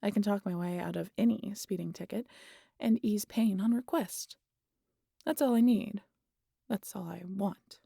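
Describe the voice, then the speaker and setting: Husky, breathy vampire woman, acted by a woman. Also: mid-range voice, a little slow, dark tone, dry recording, studio quality. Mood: wry, confiding. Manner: wry, casual, sweary, confiding. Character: teasing, stubborn old vampire woman, wry and guarded. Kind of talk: intimate audio roleplay monologue addressed to the listener.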